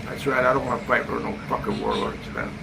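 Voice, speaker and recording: Gravelly man rambling casually, noisy background. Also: mid-range voice, fast. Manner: blunt, profane, slangy, often ending phrases with "man". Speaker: travel vlogger giving a live update. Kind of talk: rambling casual live-stream chat. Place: hotel room, indoors. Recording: noisy room with steady background hum.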